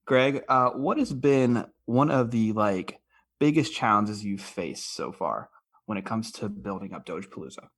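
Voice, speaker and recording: A man hosting a podcast, asking questions in a casual, halting, informal way. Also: on the low side, fairly bright tone. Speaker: podcast host.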